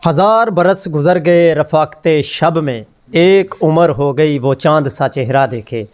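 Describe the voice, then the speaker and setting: A man hosting a poetry gathering, speaking in a formal, flowing manner and calling out drawn-out cries of approval. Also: a little high. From Pakistan. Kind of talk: formal Urdu hosting with exclamations of praise.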